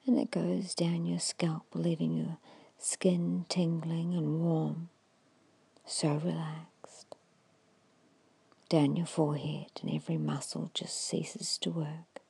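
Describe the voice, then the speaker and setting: A woman giving an ASMR guided hypnosis in a hushed, breathy whisper, slowly and soothingly instructing with long pauses. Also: low-pitched, flat delivery, no room sound. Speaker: hypnotist guiding a meditation.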